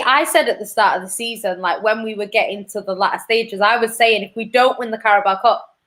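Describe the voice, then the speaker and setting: A young woman with a Manchester accent, chatting casually as a football pundit, animated and quick to laugh. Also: fairly high pitch. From England. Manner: animated, laughs often, trails off. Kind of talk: casual chat.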